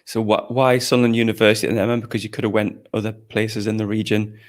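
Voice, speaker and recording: A man's voice: lowish voice, little pitch movement, fast talker. A man hosting a live online showcase, interviewing guests in an informal, encouraging and teasing way, often rephrasing his questions. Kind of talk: informal, upbeat event interview.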